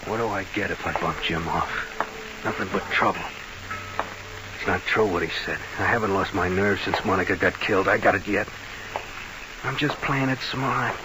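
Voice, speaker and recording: A man's voice: low-pitched, moderate pace, long pauses, music in the background, close to an everyday voice. An actor playing a haunted, defensive nightclub manager in an old radio mystery, talking in jumpy, clipped tough-guy slang. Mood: agitated, urgent. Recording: noisy, hissy old radio broadcast.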